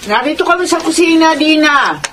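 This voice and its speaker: A man's voice: very high voice, lively intonation, a little fast, fairly bright tone, slight background noise. A man with a Filipino accent playing a stern, pushy parent in a family radio drama.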